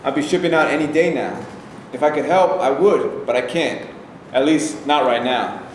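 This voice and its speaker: A man playing a level-headed, easygoing soldier in an amateur staged play reading, measured and plainspoken. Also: on the high side, lightly acted. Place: echoey hall, away from mic. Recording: distant, echoey hall, faint noise.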